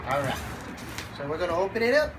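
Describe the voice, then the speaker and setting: A congested vlogger with a cold, unboxing a computer in a laid-back, halting way and talking to the dogs in between. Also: mid-range voice, very animated, noisy background. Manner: halting, lots of fillers, chats to the dogs. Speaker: channel host unboxing a computer. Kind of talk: casual unboxing vlog.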